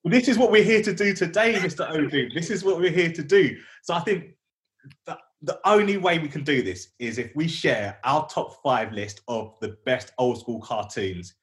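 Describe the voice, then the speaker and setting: A man's voice: fairly high pitch, expressive, normal speed. A man co-hosting a podcast in casual, slangy banter. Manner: slangy, chatty. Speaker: podcast co-host.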